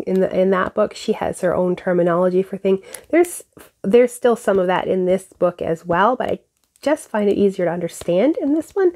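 A woman in her thirties with a stuffed-up nose, chatting casually to camera as host of a knitting podcast, recorded on a lapel mic at home. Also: on the low side. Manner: relaxed, chatty, frequent fillers. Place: home craft room with bookshelves.

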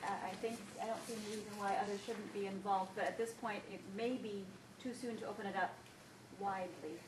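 Quiet distant woman at a meeting. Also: medium pitch, fairly even intonation, fast. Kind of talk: meeting discussion. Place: large conference room. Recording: distant mic, echoey room, background noise.

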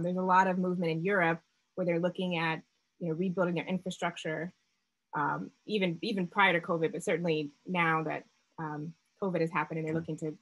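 A woman startup founder presenting a seminar talk over slides, measured and full of fillers and restarts. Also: lowish voice, a bit bright, husky, low-quality recording.

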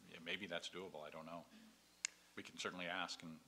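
A man explaining matters as a city official at a meeting, measured and matter-of-fact. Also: low-pitched, flat delivery, crowd noise. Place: council meeting room. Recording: faint hum on meeting audio.

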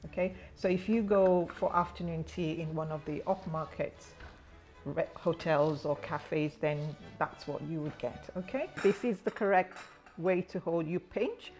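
A woman's voice: fairly low pitch, measured pace, a little mellow, faint music behind. Woman etiquette tutor, prim, precise delivery. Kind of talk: polite instruction, gently teasing.

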